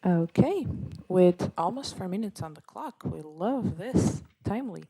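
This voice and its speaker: A woman's voice: on the low side, expressive, a little slow, mellow, breathy edge. A woman acting as chair of a meeting, moderating informally and giving procedural instructions.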